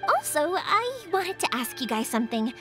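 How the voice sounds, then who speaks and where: A woman's voice: high voice, moderate pace. An actress voicing a cheerful, determined young woman fireworks maker in a video game. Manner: lively, caring and determined. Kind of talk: video game dialogue acting. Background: game music.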